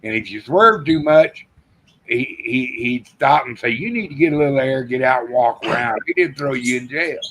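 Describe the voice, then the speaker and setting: A man's voice: mid-range voice, expressive, moderate pace, fairly bright tone, low-quality recording. Folksy older man telling stories. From Texas. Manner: rambling storyteller with folksy quoted dialogue. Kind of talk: casual chat.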